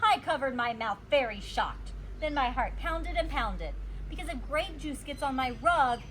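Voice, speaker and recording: A woman reading a children's storybook aloud like a teacher, expressive and emphasizing her words. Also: high-pitched, a little slow, a little hiss or hum. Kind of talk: animated storybook read-aloud.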